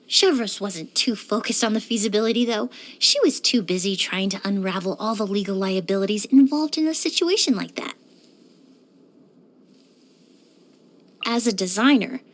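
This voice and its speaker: An actress voicing a poised young woman perfumer in a video game, speaking in a measured, articulate and refined way. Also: a little high. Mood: composed, lightly amused. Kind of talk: scripted video game character monologue.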